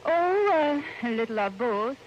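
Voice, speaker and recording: A woman's voice: a little high, very animated, unhurried, dull audio, soft background music. A woman acting as a poised, polite young Swiss policewoman in an old radio drama, speaking in a formal manner. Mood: friendly. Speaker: visiting policewoman. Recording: noisy old-time radio recording.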